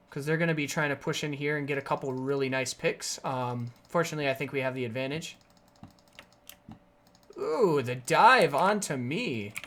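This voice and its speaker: A game streamer giving live gameplay commentary in a raspy, sore voice, upbeat and explaining plays with sudden excited outbursts. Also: mid-range voice, a little hiss or hum. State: raspy, sore voice from energy drinks.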